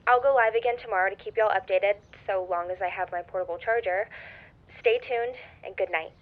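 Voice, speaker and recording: An actress playing a shaken young livestreamer in an audio drama, speaking earnestly and casually to viewers through a phone filter. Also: female voice, mid-range voice, fairly quick, phone-quality sound, a little hiss or hum. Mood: solemn, earnest. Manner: earnest, solemn, casual wording. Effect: phone or stream filter.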